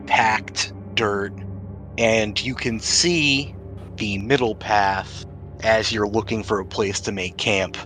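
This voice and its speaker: A man's voice: lowish voice, fairly bright tone. A man acting as game master, describing scenes in a conversational, improvised way for a tabletop role-playing game. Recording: music playing behind the voice.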